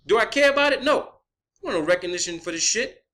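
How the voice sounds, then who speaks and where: A man's voice: high voice, animated, a little fast, sharp tone. A man speaking as an online video creator in an impassioned, profane rant, combative and emphatic, repeating phrases to drive points home.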